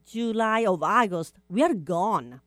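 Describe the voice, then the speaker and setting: Italian-accented woman, animated co-host in halting English, dry close sound. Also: medium pitch, no room sound. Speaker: co-host reading news. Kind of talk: casual radio chat.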